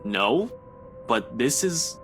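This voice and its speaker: A man's voice: medium pitch, very animated, drawn-out words, fairly bright tone, slight background noise, heavily acted, poor audio. An actor playing a defensive, skeptical young man in a visual novel game.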